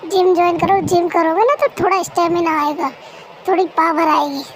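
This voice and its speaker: A woman's voice: very high-pitched, fairly even intonation, moderate pace, slight background noise. A woman voicing a cheeky young brother-in-law who teases and plays mock-offended, in an amateur fan dub. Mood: teasing.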